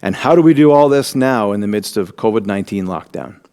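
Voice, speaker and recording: A man hosting a church talk program, giving a measured, earnest scripted introduction. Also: lowish voice, expressive, dark tone. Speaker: host.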